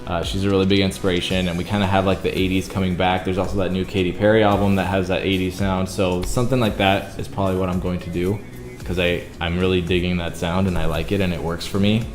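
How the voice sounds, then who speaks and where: A young man with a very deep baritone voice, chatting earnestly to his viewers in a casual vlog, full of "like" fillers. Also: little pitch movement, fast talker, echoey room, over music. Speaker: YouTuber and singer.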